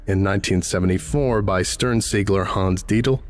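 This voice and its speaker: Low-voiced man narrating formally. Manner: formal, clinical, measured delivery. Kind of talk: scripted documentary-style narration.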